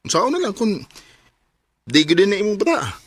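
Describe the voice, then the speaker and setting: An actor playing a blunt young buddy who sows doubt in a radio drama, with a Cebuano accent. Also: male voice, sits high, big pitch swings, normal speed, very noisy. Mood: insistent, suspicious. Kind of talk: Filipino radio drama acting.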